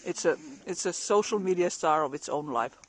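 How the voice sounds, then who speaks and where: A woman's voice: fairly low pitch, moderate pace, husky. A middle-aged woman live-streaming outdoors in a snowy forest, chatting casually with viewers and laughing often.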